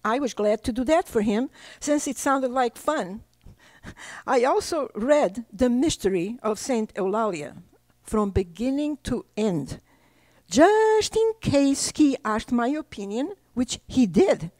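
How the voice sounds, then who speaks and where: An older woman from Portugal, a native Portuguese speaker, reading slowly and deliberately from her own book at a library talk. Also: fairly high pitch, very animated, darkish tone, dry recording. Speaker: author. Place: library presentation room.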